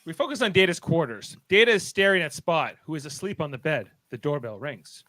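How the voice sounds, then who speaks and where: A man's voice: a little high, expressive, a little fast, bright, forceful tone, dry recording, rough recording. A man narrating stage directions for a radio play, with wry casual asides.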